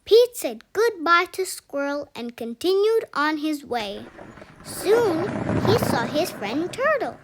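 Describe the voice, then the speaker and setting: A woman reading a children's story aloud with expressive, emphatic narration. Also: high voice, takes it slowly, music in the background, rough recording. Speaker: storybook reader.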